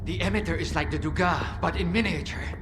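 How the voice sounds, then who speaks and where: Man acting a defiant scientist over loud music. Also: fairly high pitch, a little fast, lightly acted. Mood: explaining. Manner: technical, explanatory, defiant. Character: defensive, proud male research scientist. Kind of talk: video game cutscene acting.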